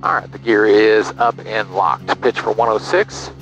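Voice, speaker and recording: A man's voice: lowish voice, animated, unhurried, fairly bright tone, faint music behind, poor audio. American man on an aviation headset. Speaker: pilot and vlogger. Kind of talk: casual cockpit vlog and radio calls. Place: small twin-engine airplane cockpit. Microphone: aviation headset boom mic.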